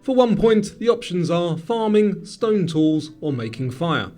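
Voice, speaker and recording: A man hosting a quiz, reading scripted questions and instructions to the player in a clearly enunciated presenter's delivery, with music behind him. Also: sits high, sing-song, unhurried, dark tone. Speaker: quiz show host. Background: background music.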